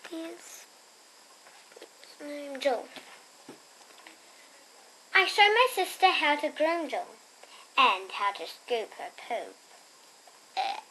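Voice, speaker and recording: A young girl reading a picture book aloud expressively, with chatty, quieter asides to her listeners. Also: high voice, lively intonation, slow, pauses often, slight background noise, low-quality recording. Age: child. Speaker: storybook reader and host.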